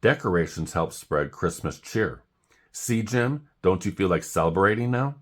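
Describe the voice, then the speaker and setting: A man voicing an excitable, pushy female oxpecker bird from a children's storybook, chirpy and enthusiastic. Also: deep voice, normal speed. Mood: cheerful.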